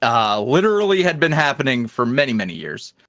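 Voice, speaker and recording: A man is chatting casually as an interview guest. His pitch moves around with lots of animation while he rambles in a wry, self-deprecating way. The sound is dry, with little room on it. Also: big pitch swings, fairly quick, fairly bright tone, no echo. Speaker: podcast guest, ASMR roleplay creator.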